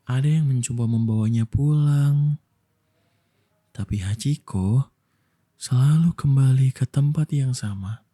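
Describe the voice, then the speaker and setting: Young male ASMR storyteller. Unhurried, very dark soft tone, soothing bedtime delivery. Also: medium pitch. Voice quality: soft, breathy, husky. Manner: slow, gentle, soothing delivery with long pauses. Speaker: ASMR voice actor telling a bedtime story as a boyfriend.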